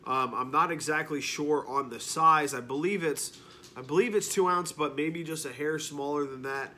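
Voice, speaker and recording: A man in his thirties speaks from a living room couch, his voice nasal and congested as he gets over a cold. He sits a little high in pitch and talks to the camera in a relaxed review style. He leans on repeated words to show his enthusiasm. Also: normal speed. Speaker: beard product reviewer. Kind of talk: casual product review.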